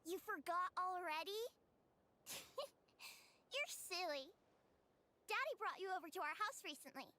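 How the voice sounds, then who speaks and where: A woman's voice: very high-pitched, very animated, a little slow, pauses often, sharp tone, full character voice. A woman voicing a cheerful, chatty little girl in a video game. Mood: bright, chatty. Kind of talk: game voice acting.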